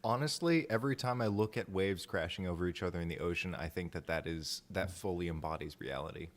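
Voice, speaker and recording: A man with a fairly low voice chats on a livestream over a video call. He is casual, opinionated and swears freely as he banters with friends. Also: fast talker. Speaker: improv show streamer. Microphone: remote video call on Zoom.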